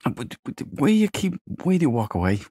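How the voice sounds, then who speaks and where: A man's voice: fairly high pitch, very animated, brisk, mellow, dry recording, studio quality. A man giving casual let's play commentary, muttering and thinking aloud as he goes. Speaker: gamer.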